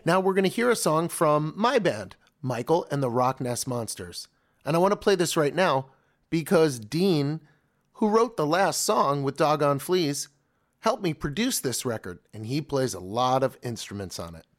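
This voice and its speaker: A man's voice: on the high side, lively intonation, normal speed. A middle-aged man hosting a children's radio show, chatting casually and enthusiastically as he introduces songs. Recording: talk over loud background music. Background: loud music.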